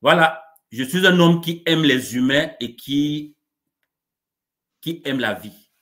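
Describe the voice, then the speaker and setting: A man, a coach being interviewed live over a video call, speaking in a warm, measured way with frequent restarts. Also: a little high. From Cameroon. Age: 40s. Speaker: coach and guest.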